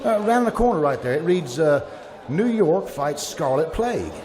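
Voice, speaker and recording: An actor playing an earnest, uneasy male academic in an old-time radio drama, speaking in a deliberate, theatrical way. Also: on the high side, big pitch swings, crowd noise. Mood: curious, uneasy. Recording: background music, live stage performance.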